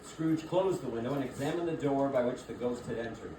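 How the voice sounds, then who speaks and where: Male narrator for a staged literary reading, medium pitch, recorded from afar with clear background noise. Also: a little fast. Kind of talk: scripted theatrical storytelling narration. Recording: distant echoey room, clearly noisy.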